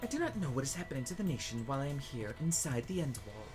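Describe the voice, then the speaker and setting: A woman playing a dramatic high school student in a comedic anime game fandub, with theatrical delivery. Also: sing-song, rapid-fire, over music. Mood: earnest.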